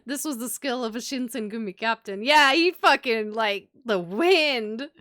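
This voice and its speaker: A woman's voice: on the high side, lively intonation, a little slow, fairly bright tone, no room sound, clean studio sound. A woman streamer reading a visual novel aloud and reacting casually, expressively, with some swearing. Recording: game music behind the voice. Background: video game music.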